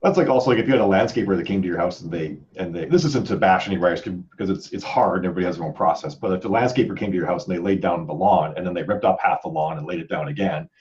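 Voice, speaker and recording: A man's voice: sits low, very fast, hardly any pauses, a little mellow, highs cut off. A man hosting a casual podcast panel, rambling conversationally and repeating words as he thinks aloud. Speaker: podcast host and author.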